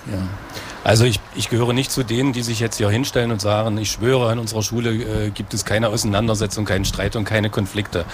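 A man's voice: on the low side, little pitch movement, fast talker, background noise. A man, a school head, answering interview questions in a conversational way, explaining at length.

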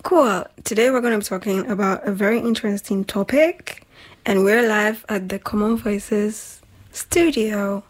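A woman with a slightly husky voice, hosting a casual chat in an informal, emphatic, slangy way. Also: medium pitch. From Nigeria. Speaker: talk show host.

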